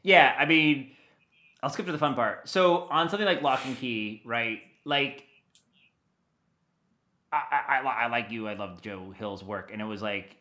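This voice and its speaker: A middle-aged man chatting casually as an interview guest, telling anecdotes in an animated, informal, loosely rambling way. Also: medium pitch, moderate pace, pauses often, reverberant. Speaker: guest, audio dramatist and producer.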